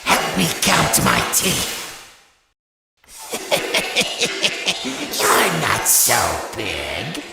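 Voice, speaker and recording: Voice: mid-range voice, huge pitch swings, drawn-out words, creaky, exaggerated voice. An actor voicing a sadistic, taunting animatronic monster in a horror video game, with music underneath. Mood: gloating, taunting. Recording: cavernous reverb, music underneath.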